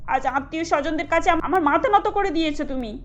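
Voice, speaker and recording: Woman voicing a stern high-pitched mother-in-law. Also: fast talker, lightly acted. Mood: reproachful. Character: proud, stern middle-aged mother-in-law.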